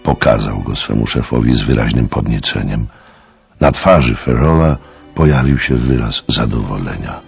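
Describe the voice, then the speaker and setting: A man's voice: very deep voice, animated, normal speed, creaky, dull audio, soft background music. A man narrating a radio play in a scripted, dramatic storytelling style.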